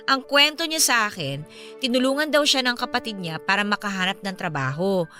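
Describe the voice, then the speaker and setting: A woman's voice: medium pitch, brisk. An actress playing a chatty, candid young woman with a Filipino accent, confiding as a sympathetic friend in a radio drama. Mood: candid, animated. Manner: expressive, conversational, emphatic. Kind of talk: Tagalog radio drama dialogue. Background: music under the voice.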